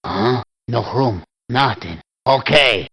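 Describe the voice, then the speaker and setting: Male game mercenary: sparse, very slow one-word barks, husky voice, dull low-rate audio. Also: animated, pushed and bright, exaggerated voice. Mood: terse. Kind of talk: clipped video game voice barks.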